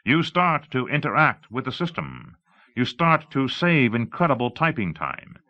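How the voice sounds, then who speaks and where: A man's voice: medium pitch, sing-song, measured pace, pushed and bright, pitched above the performer's own voice. A man narrating an audiobook, voicing an eager, showy salesman with emphatic, carefully enunciated speech in slightly muffled audio. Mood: enthusiastic explaining. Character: eager, showy salesman demonstrating voice software to an audience. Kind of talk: audiobook character dialogue.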